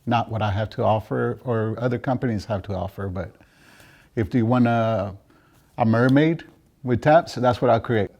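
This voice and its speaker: A man from Mexico giving casual interview answers about his trade, often halting as he searches for words. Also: medium pitch, creaky, darkish tone. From Juarez, Mexico. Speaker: interviewed draft beer system installer and business owner.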